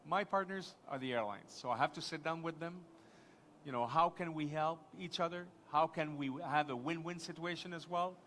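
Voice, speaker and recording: A man speaking as an airport executive at a live conference panel, halting and restarting his sentences. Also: on the high side, fairly bright tone, a little hiss or hum. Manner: halting, many restarts and fillers.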